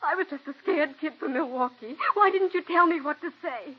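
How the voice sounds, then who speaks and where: An actress playing a young, ambitious stage actress who pleads tearfully and breathlessly, in 1940s radio drama style. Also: female voice, sits high, fairly quick, slight background noise, close to an everyday voice. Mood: pleading. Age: young adult. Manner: breathless, pleading, breaks into sobs. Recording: dull old radio broadcast.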